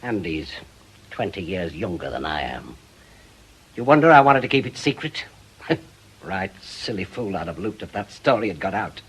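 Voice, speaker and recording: A man's voice: low voice, big pitch swings, moderate pace, a bit bright, slightly rough, heavily acted, rough recording. An actor with a British accent playing a brusque, sardonic, middle-aged retired policeman in a radio drama. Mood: bitter. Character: gruff, bitter retired policeman, brusque and proud.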